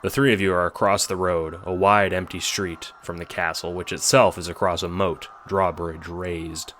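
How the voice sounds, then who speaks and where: A man narrates a scripted audio drama. His voice is low-pitched yet sharp and forceful. Clear background noise runs beneath the narration. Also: little pitch movement, normal speed.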